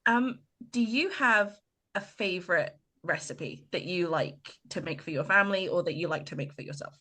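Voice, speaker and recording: A woman's voice: lowish voice, moderate pace, bright, forceful tone. A woman in her thirties co-hosting a podcast, supportive and conversational, giving frequent short agreements. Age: 30s. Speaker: podcast co-host. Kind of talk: casual chat.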